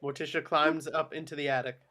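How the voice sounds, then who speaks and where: A man's voice: on the high side, flat delivery, fairly quick, pushed and bright. A man reading out screenplay action directions as scripted narration at a table read. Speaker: action-line reader.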